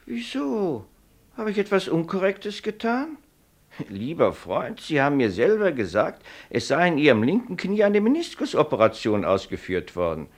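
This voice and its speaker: An actor playing a self-righteous company doctor in a German radio play, formal, pedantic and condescending. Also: male voice, fairly high pitch, huge pitch swings, normal speed, exaggerated voice. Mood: affronted, officious.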